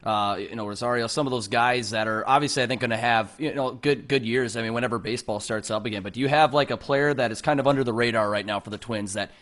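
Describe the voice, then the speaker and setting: Fast-talking man co-hosting a sports interview. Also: mid-range voice, a bit bright. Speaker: co-host interviewer. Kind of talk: casual sports interview chat.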